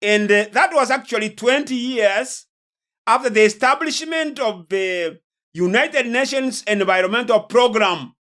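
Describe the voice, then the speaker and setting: Slow, deliberate preacher. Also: medium pitch, fairly bright tone. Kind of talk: sermon-like religious lecture.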